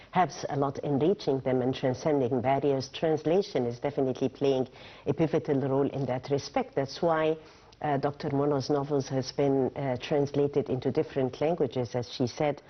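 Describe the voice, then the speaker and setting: A woman TV host asking formal questions, often pausing to search for words. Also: a bass voice, fairly even intonation, lo-fi sound. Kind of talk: TV studio interview.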